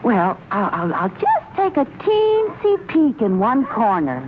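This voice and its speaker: A woman playing an excitable, gushing housewife in a 1950s radio comedy, with a theatrical manner. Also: fairly high pitch, unhurried. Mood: eager. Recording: tinny, noisy old-time radio recording.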